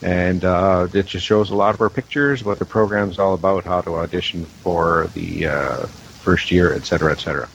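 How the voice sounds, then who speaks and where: A man explaining casually in a halting, unhurried way as a panel guest. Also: low-pitched, fairly even intonation, gravelly. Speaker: audio producer, panel guest. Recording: dull, noisy call audio.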